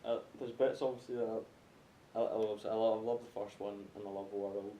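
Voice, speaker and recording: A man's voice: fairly even intonation, brisk, mellow. A man chatting casually and informally about films in a group, with some swearing. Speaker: podcast host. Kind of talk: casual group film chat. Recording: echoey room, noisy low-fidelity rumble.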